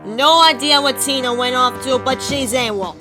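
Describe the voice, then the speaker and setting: A woman's voice: high-pitched, moderate pace, over music, lightly acted. A woman voicing a bossy, demanding coffee shop manager for a fan comic dub.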